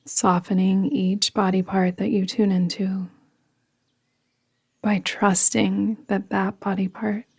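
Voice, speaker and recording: A woman guiding a yoga nidra meditation in a soothing, gentle, deliberate manner, often trailing off into a whisper. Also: mid-range voice, flat delivery, vocal fry, breathy edge. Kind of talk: guided meditation narration.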